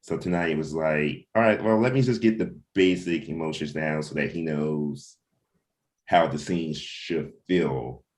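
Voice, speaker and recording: A man's voice: a bass voice, measured pace, a little mellow. A man talking casually as a writer about creative work, rambling and repeating himself as he thinks aloud. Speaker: comic book writer.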